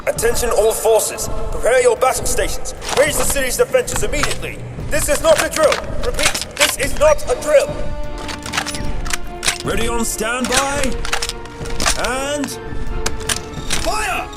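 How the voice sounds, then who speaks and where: A man acts an alarmed but dutiful general in an audio drama. His voice is very high for a man, and he barks urgently over loud music. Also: lively intonation, unhurried, pauses often. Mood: urgent commanding. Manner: urgent, barking orders.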